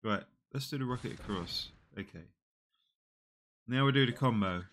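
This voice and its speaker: A man in his forties with a slightly nasal voice from a cold, quietly talking through a mobile puzzle game on a headset. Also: mid-range voice, slight background noise. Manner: slow, muttering play-by-play, often trailing off. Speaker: gaming channel host. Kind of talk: casual mobile game commentary. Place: small room indoors.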